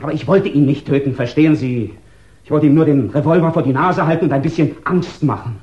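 An actor playing a cornered, defiant killer justifying himself with agitation in a 1960s German radio crime play. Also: male voice, on the high side, fast talker, a little mellow, natural voice. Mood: defensive. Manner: agitated, defensive. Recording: muffled roomy 1960s radio play recording.

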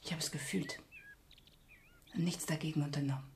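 A woman's voice: low-pitched, steady tone, darkish tone. A bereaved mother in a radio thriller, bitter with guilt and close to hysteria. Her words come brokenly and often drop toward a whisper. There is faint noise behind her. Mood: guilt-ridden grief. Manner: halting, broken phrases, sinking into whispers. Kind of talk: radio crime drama acting.

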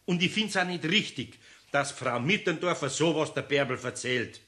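An older actor playing a dry, grumbling master carpenter in a radio play. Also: male voice, fairly high pitch, lively intonation, moderate pace, sharp tone, roomy sound. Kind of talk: 1960s radio play acting.

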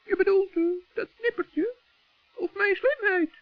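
A man voicing a good-hearted, stubborn little forest gnome in a children's radio play, squeaky, creaky and earnest. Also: unhurried, dry recording. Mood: puzzled, doubtful. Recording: dull, dry vintage radio recording.

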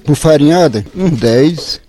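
Rural male interviewee, Cearense accent, soft-edged slightly high voice, informal, plain-spoken explanations. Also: lively intonation, slow, slight background noise. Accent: rural Northeastern Brazilian, Ceará. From rural Ceará, Brazil. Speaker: interviewee, flour-house worker.

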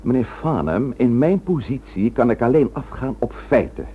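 An actor in a Dutch radio drama playing a stern, gruff police commissioner with a curt, authoritative manner. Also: male voice, mid-range voice, lively intonation, moderate pace, narrow, tinny audio. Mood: firm, controlled. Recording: noisy, thin old radio recording with hum.

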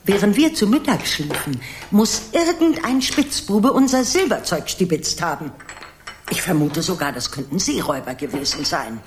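An actress playing a doting old grandmother in a children's radio play, fond and gently ironic. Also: fairly low pitch, big pitch swings, moderate pace, background noise. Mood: sly. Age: older.

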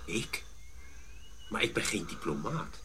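An actor playing an earnest, principled Dutch colonial adjutant who speaks deliberately in a radio drama. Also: male voice, on the low side, very animated, pushed and bright, a little hiss or hum, full character voice. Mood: doubtful, probing.

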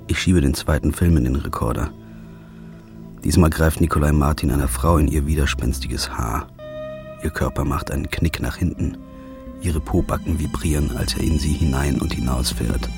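A man narrating in the first person in a flat, brooding, understated way, as a noir audio drama lead. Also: rumbling low, normal speed, long pauses, over music.

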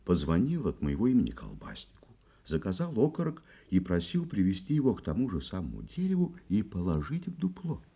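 A man's voice: low voice, wildly swooping pitch, fairly quick, vocal fry, dark tone, breathy edge, full character voice. An actor playing an earnest, indignant forester and father in a fairy-tale audio play, theatrical and emphatic.

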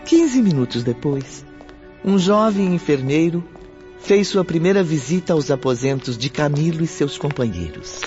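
A man acting as the solemn storyteller of a radio serial, measured and carefully enunciated. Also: a little high, lively intonation, darkish tone, close to an everyday voice. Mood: solemn, admiring. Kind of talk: scripted radio drama narration. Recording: muffled audio with music behind.

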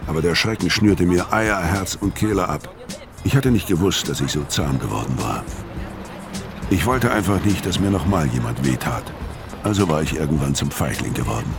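An actor playing a weary, haunted older ex-convict, narrating a radio crime drama in a brooding, measured way. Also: male voice, sits low, lively intonation, vocal fry, over music. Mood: bleak, brooding. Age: 50s. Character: weary, haunted older male ex-convict telling his story.

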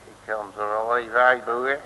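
A man's voice: medium pitch, little pitch movement, brisk, bright, forceful tone, a little hiss or hum, close to an everyday voice. A man playing a cocky, bumbling detective who jokes brashly, in a 1950s radio comedy. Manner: brash, joking. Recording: old radio recording, long reverb.

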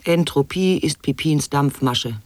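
A man's voice: fairly high pitch, unhurried, no echo, lightly acted. An actor playing an eccentric man who declaims absurd wordplay in staccato lists for an experimental radio drama. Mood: declamatory.

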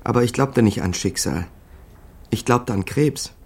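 Gravelly, wry crook narrator. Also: male voice, medium pitch, a little slow, a little mellow. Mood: dry, laconic. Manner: wry, laconic. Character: small-time crook Eddy narrating his own wry caper. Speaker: narrator of a radio crime play. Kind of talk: first-person scripted narration in a radio crime drama.

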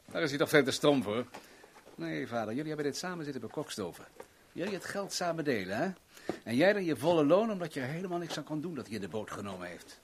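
A man's voice: fairly high pitch, sing-song, fast talker. An actor playing a menacing, domineering rich businessman in a radio play, sneering and taunting as he gives orders. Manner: commanding, taunting, theatrical. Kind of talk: 1970s Dutch radio play acting. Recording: noisy old radio drama recording.

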